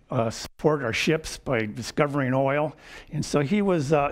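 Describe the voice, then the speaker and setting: A man in his seventies giving a lecture with slides, telling family stories in a folksy way, with fillers and chuckling at his own jokes. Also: mid-range voice. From United States. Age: 70s. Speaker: retired physician giving a lecture. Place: lecture hall.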